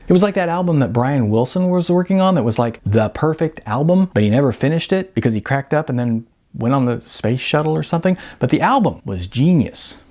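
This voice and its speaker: A man voicing a cocky, excitable young slacker in an audiobook, talking in brash, insistent dude slang. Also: mid-range voice, pitched above the performer's own voice. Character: excitable, cocky young male slacker dreamer, record store clerk.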